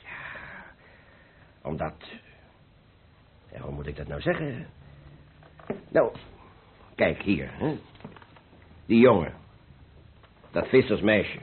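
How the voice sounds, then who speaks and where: An actor playing a gruff, modest, big-hearted master painter in a Dutch radio play, blunt and impatient, repeating himself. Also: male voice, deep voice, very animated, slow pace, pauses often, slight background noise, exaggerated voice. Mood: dismissive, amused. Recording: muffled old radio play recording.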